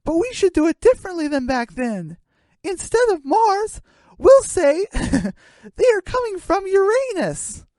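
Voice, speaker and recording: Very high-pitched, giggly cartoon chicken prankster. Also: female voice, unhurried, no echo, clean studio sound, full character voice. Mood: giggly, excited. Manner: excitable, giggly. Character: giggly, mischievous young chicken prankster turned fake newscaster.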